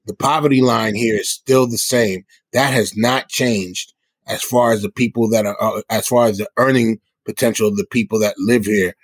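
A man's voice: lowish voice, fairly even intonation, moderate pace, breathy edge, dry recording. A middle-aged man from the South Bronx, answering interview questions in an earnest, explanatory, conversational way. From South Bronx, New York City. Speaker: hip hop musician and guest.

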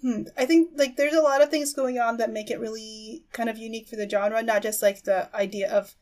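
A woman hosting a podcast, chatting casually about anime and explaining things in a halting, thoughtful way. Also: a little high, fairly even intonation, a little mellow. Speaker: podcast host.

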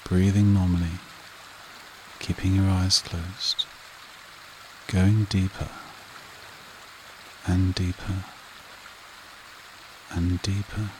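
A man leading a guided meditation and hypnosis in a soothing, repetitive manner with short phrases and long pauses. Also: sits low, background noise. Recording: rain and running water behind voice. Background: steady rain and stream sounds.

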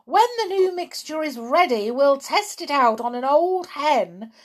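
A woman voicing an excitable, overbearing father in a children's story, exclaiming with bossy, impatient enthusiasm. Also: high-pitched, slow, voice pushed up, full character voice. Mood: eager, bossy. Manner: exclamatory, bossy, breathlessly eager.

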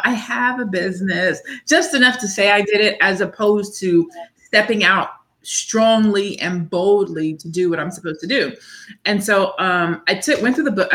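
Bright-voiced woman in her forties. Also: medium pitch. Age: 40s. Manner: earnest, faith-driven, repeats words when excited. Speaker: author and guest. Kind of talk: casual interview chat.

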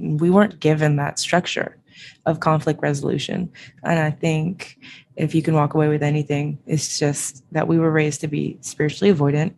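A woman's voice: sits low, creaky, a little mellow. A woman podcast host whose first language was Japanese, talking reflectively in a casual discussion. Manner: reflective, thoughtful, with frequent "like" and "I think".

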